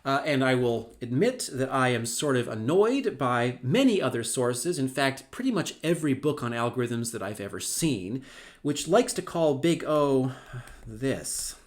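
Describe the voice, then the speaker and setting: A middle-aged man lecturing at a mid pitch for a man, in an easy conversational tone. He drops in dry, wry asides and often stops to restart a phrase with a filler. The delivery is relaxed and thinks aloud. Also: a little slow. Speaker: university lecturer. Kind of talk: recorded lecture.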